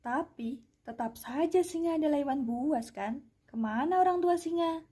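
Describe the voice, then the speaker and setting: A high-pitched, soft-toned rabbit acted by a young woman from a puppet fable. It is an indignant, doubting little creature that questions insistently and plaintively. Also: normal speed, lightly acted. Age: young adult. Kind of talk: puppet-show fable dialogue.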